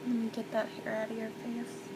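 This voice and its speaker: A woman playing a gentle, comforting mother in a soft-spoken ASMR roleplay, soothing and tender, often slipping toward a whisper. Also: monotone, a little fast, natural voice. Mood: soothing, loving. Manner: soothing, tender, reassuring, hushed with whispery stretches. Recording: loud background noise with low rumble.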